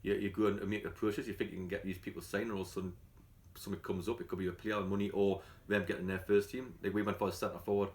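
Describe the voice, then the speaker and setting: A man with a London accent talks at a brisk clip in a fairly low voice. He sounds like a football manager at a casual catch-up, chatty and colloquial, telling things the way he would to mates. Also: level tone, a little mellow. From London, England. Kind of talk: casual press catch-up interview.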